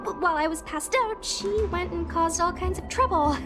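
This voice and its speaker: A woman's voice: extremely high pitch, normal speed. A woman voicing a spirited, protective young woman in a video game, brash, casual and confident. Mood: sheepish, earnest. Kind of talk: video game character dialogue. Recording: music behind the voice. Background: game music.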